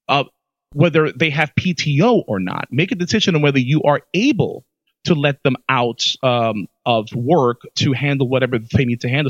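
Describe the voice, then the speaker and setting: An excitable man co-hosting a podcast, asking a guest long questions. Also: fairly high pitch, dry recording, muffled recording. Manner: excitable, talks loudly into the mic. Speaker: podcast co-host. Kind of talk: casual podcast interview.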